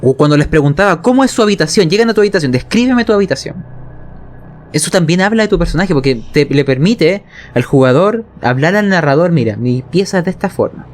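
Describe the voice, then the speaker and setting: Chilean man, higher-pitched voice, colloquial game master teaching storytelling and checking that listeners follow. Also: lively intonation, brisk. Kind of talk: explanatory conversation on tabletop role-playing narration.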